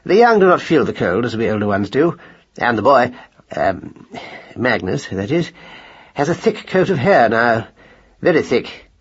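A man's voice: mid-range voice, sing-song, a little slow, pauses often, breathy edge, dull audio. A man voicing a pompous, long-winded elderly male mouse in a children's audiobook, pedantic and hesitant, with formal afterthoughts. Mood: earnest, flustered.

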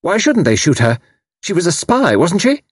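A man's voice: lowish voice, expressive, moderate pace, husky, dry recording, clean studio sound. A man voicing a fierce, bitter, impetuous young woman in an audiobook, with intense, emphatic delivery. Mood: fierce, defiant. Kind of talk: audiobook character dialogue.

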